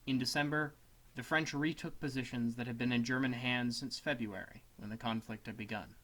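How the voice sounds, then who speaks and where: A man narrating a scripted essay as a dramatic reading, in a solemn, measured manner. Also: mid-range voice, fairly even intonation, a bit bright, poor audio. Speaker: student narrator.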